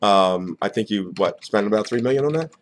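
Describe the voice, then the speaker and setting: A man's voice: lowish voice, expressive, fairly quick, a little hiss or hum. A man with an American accent hosts an informal interview, asking rambling, casual questions and repeating words as he goes. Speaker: talk show host, interviewer.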